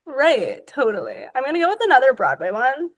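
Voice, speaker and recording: A young woman hosting a podcast, with a voice pitched a little high for a woman. She fires off quick questions in an upbeat, chatty way and chimes in with short replies. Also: wildly swooping pitch. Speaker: podcast host. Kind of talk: casual interview.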